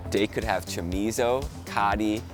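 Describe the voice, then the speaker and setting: A man hosting a behind-the-scenes vlog, chatting excitedly and laughing often outdoors in a city. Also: lowish voice, unhurried. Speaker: vlog host. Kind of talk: casual behind-the-scenes chat. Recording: music playing behind.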